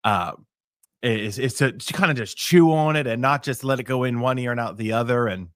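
A man's voice: medium pitch, brisk, dry recording, studio quality. A middle-aged man with a cold hosting a casual morning live stream, rambling with many restarts and fillers. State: congested with a cold.

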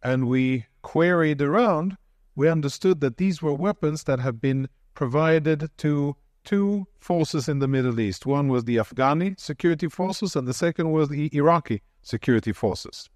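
Man, measured halting answers, dry close sound. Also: a little high, expressive, darkish tone, no room sound. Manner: measured, restarts and repeats words. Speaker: panel speaker on security. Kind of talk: answering audience questions.

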